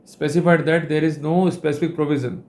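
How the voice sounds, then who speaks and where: A man with an Indian accent giving a formal instructional lecture on his own, in deliberate, measured phrases with short pauses. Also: a little high, steady tone, a little mellow. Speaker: legal educator presenting a lecture.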